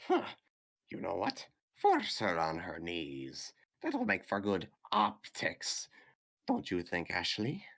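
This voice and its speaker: An actor playing a small, smug, theatrical aristocratic villain in a visual novel game, with mocking, drawn-out formal speech. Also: male voice, low voice, huge pitch swings, vocal fry, fairly bright tone, heavily acted. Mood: smug, commanding.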